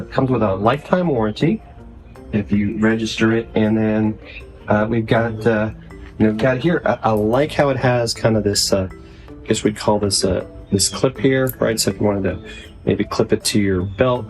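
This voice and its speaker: A man's voice: fairly low pitch, a little mellow. A man giving a casual, conversational product demonstration as a video reviewer.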